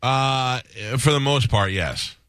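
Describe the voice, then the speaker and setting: A man's voice: medium pitch, slow pace, fairly bright tone, clean tone, no echo. A man hosting a radio call-in show, teasing callers with brash casual banter into a studio microphone.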